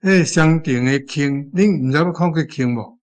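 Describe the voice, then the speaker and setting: A man's voice: fairly high pitch, normal speed. A man with a Taiwanese accent teaching informally, conversational and repeating key phrases as he explains.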